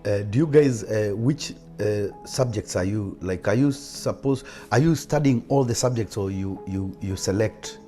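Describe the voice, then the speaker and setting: A man's voice: on the low side, moderate pace, few pauses, dark tone, faint music behind. A man interviewing someone in a casual chat, often interjecting and repeating answers back.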